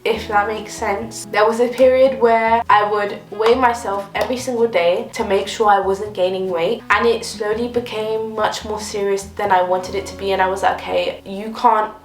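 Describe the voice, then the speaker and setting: A woman's voice: medium pitch, steady tone, normal speed, hardly any pauses, soft background music. Twenties girl doing a q&a vlog, casual chatty vibe, echoey room. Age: 20s. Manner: chatty and upbeat, with light laughs. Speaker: vlogger. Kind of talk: casual Q&A chat.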